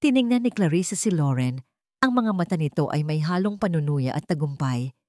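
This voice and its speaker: A woman's voice: deep voice, animated, fairly quick, darkish tone, dry recording. A woman with a Filipino accent reading a dramatic scripted story as an audiobook narrator.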